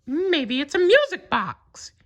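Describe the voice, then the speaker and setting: A woman voicing an excitable, gushing male cartoon mouse in a squeaky falsetto for a children's storybook. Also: slow, exaggerated voice. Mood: delighted. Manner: gushing, exclaiming.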